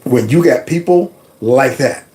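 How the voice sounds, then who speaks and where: A middle-aged man hosting a commentary show, mocking and animated with loud outbursts. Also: mid-range voice, a little slow, slight background noise. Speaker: host. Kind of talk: commentary vlog reacting to clips.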